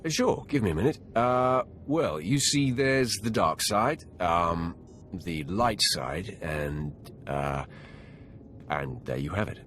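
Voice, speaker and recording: A man's voice: low-pitched, animated, slow pace, fairly bright tone, a little hiss or hum, exaggerated voice. A man playing a blunt, confident acolyte hero in a video game, terse and dryly flippant. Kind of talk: video game cutscene acting.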